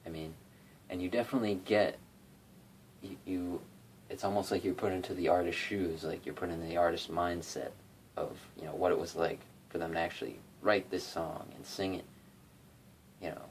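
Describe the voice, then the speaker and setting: Low-voiced young man rambling. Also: steady tone, normal speed, a little hiss or hum. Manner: rambling, many fillers and restarts. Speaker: YouTube cover singer and guitarist. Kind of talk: casual rambling vlog talk. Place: his bedroom. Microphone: phone.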